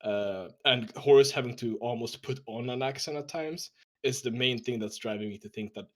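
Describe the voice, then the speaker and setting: A man's voice: medium pitch, normal speed. A man from Sweden with a Swedish accent, hosting a casual roundtable podcast and talking with hesitant fillers.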